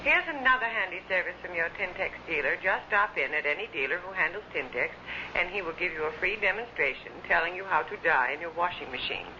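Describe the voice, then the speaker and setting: A man's voice: high voice, expressive, quick pace. A man announcing on the radio in a vintage broadcast manner. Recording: muffled, noisy old radio recording.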